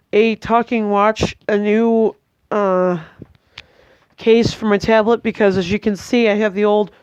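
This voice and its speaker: A tired, congested young woman, giving a hesitant, chatty vlog update at home with many pauses and restarts. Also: medium pitch, clean tone, no room sound, highs cut off. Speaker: vlogger. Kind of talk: casual rambling update to camera.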